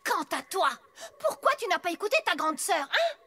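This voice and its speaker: Young adult female cartoon pony, very high, swooping pitch, hot-tempered, wary, scolding. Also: very animated, moderate pace, pushed and bright, full character voice. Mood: angry scolding. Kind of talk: animated cartoon dub acting.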